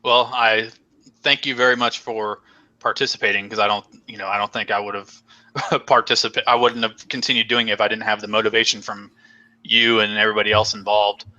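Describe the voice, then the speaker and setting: A man's voice: lowish voice, flat delivery, a little fast, bright, forceful tone, muffled recording. A young man hosting a casual live stream chat, rambling and joking with many fillers while losing his voice. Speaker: host.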